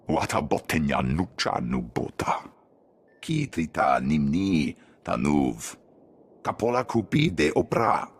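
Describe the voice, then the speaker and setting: A man voicing an alien elder in a video game, speaking an invented tongue in a measured, swooping way. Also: sits low, a bit bright, full character voice.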